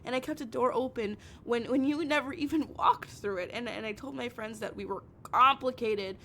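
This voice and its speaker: A heartbroken, obsessive young woman, acted out by a woman in an intimate audio roleplay, with a fairly high voice. She stammers and repeats herself, raw with emotion, as she pleads with a partner. The recording has some noise and low rumble under it. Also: moderate pace, a bit bright. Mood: hurt, bitter.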